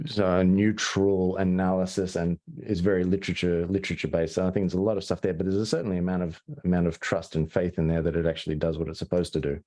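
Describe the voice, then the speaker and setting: A deep-voiced Australian man presents online in a relaxed, warm tone. He pauses, restarts and fills gaps as he explains, casual rather than polished. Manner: hesitant, full of restarts and fillers. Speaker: webinar presenter. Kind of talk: conversational webinar presentation.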